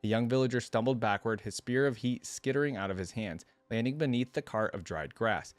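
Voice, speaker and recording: A man's voice: mid-range voice, a little fast, dry recording. A man hosting a reaction video, reading a story aloud and breaking off into casual, musing commentary with hesitant asides.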